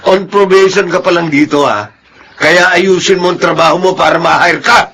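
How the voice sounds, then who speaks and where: Brief: arrogant Filipino supervisor for radio drama, highish bright hard-edged voice, domineering, short temper. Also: male voice, moderate pace, breathy edge, exaggerated voice. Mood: irritated, scolding. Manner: scolding, haughty, domineering. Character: arrogant, hot-tempered, ambitious male office supervisor. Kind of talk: radio drama acting in Tagalog.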